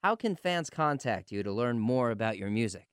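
A man's voice: mid-range voice, expressive, normal speed, clear, resonant tone, no room sound, clean studio sound. A man hosting a music radio interview, putting prepared questions in a polite, courteous manner.

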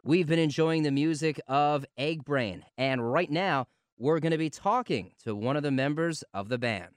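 A man's voice: fairly high pitch, animated, normal speed, clear, resonant tone, no echo, studio quality. A man hosting a music radio interview, putting prepared questions in a polite, courteous manner.

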